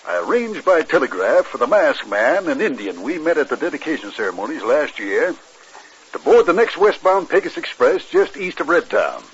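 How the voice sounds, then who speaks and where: An actor playing an earnest, businesslike railroad president in a 1950s western radio drama. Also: male voice, a little high, sing-song, moderate pace, breathy edge, exaggerated voice. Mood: earnest, planning. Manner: businesslike, explanatory. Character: earnest male railroad president in the Old West. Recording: muffled old radio recording.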